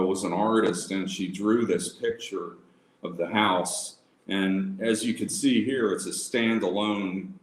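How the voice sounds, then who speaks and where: A man presenting an informal livestream lecture as a society president, talking conversationally and haltingly. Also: fairly low pitch. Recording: roomy, echoing livestream audio.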